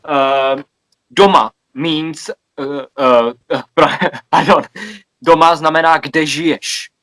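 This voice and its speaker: A man's voice: fairly high pitch, steady tone, slow, a bit bright. A man from Czechia in a casual video chat, patiently repeating and explaining words. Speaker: language exchange participant.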